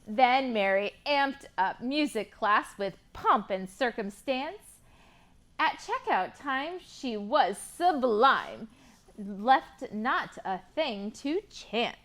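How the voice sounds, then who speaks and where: A woman's voice: on the high side, big pitch swings, slow pace, a bit bright. A woman hosting a library storytime for young children, reading expressively and prompting them with encouraging questions. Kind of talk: picture-book reading and sing-along for young children.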